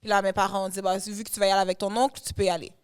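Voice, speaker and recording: Animated woman singer chatting. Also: mid-range voice, rapid-fire, smooth. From Canada. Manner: animated, mixing French and English. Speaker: guest singer. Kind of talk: casual interview chat.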